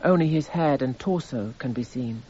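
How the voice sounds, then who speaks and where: A man's voice: medium pitch, moderate pace, dark tone, husky, lightly acted. Man as a detached narrator, narrow phone-like sound. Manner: precise, detached, descriptive. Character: detached male court narrator cataloguing evidence. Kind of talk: scripted radio drama narration. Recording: thin, phone-like narrow band.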